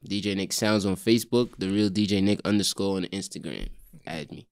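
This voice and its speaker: A young man from Brooklyn, a DJ answering interview questions in a halting way, full of fillers. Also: deep voice, vocal fry, a little mellow. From Brooklyn, New York. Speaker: guest, DJ and rapper.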